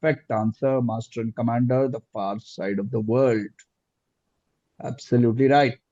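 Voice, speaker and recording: A man hosting a quiz live, reading questions aloud deliberately and repeating answers and phrases, over lo-fi online call audio. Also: mid-range voice, steady tone, noisy background. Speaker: quizmaster.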